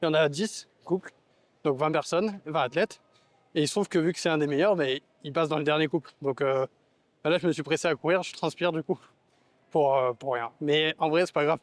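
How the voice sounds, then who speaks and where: A young man vlogging on the go, excitable and rambling with lots of fillers. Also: on the high side, brisk, no echo. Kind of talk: casual on-the-go vlog. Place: outdoors and in a camper van.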